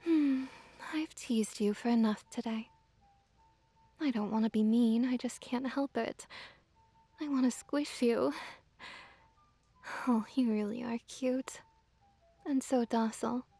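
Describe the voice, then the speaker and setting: A woman voicing a gently dominant, teasing kitsune witch in an intimate ASMR roleplay, speaking softly and close, often near a whisper. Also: fairly high pitch, dry recording, faint music behind, exaggerated voice. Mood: tender teasing. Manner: soft, breathy, slow cooing delivery, often near whisper. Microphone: close ASMR microphone.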